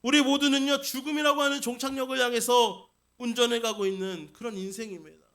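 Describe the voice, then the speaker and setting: A man's voice: very high-pitched, fairly even intonation, fairly quick, fairly bright tone. A man preaching a Sunday sermon as senior pastor, putting questions to the congregation and repeating key points for emphasis. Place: church service.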